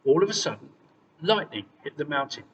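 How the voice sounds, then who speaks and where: A man's voice: fairly high pitch, big pitch swings, a little fast, distant mic, dull audio. A man narrates a children's fairy tale with very varied intonation. He sounds set back from the mic in a long reverb, with faint noise underneath. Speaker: storyteller.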